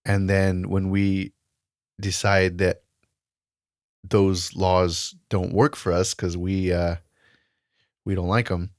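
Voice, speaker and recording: A man's voice: low-pitched, flat delivery, slow, long pauses, no room sound, clean studio sound. A man co-hosting a podcast in casual discussion, explaining things through examples and analogies. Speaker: podcast co-host.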